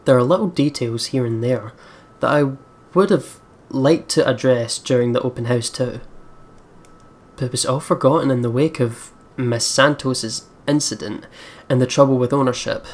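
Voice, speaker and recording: Guy reading a visual novel out loud for viewers, chatty, casual playful comments. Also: mid-range voice, moderate pace. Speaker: let's play host.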